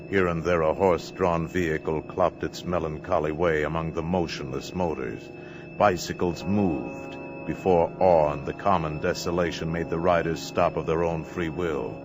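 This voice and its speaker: A man narrating a 1950s radio drama in a measured, dramatic storytelling manner. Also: rumbling low.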